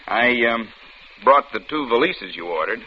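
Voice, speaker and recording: An actor playing a friendly, apologetic shopkeeper in an old radio drama, folksy and a little hesitant. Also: male voice, mid-range voice, lively intonation, normal speed, close to an everyday voice. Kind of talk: 1940s-50s radio drama acting. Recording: dull old radio recording, faint noise.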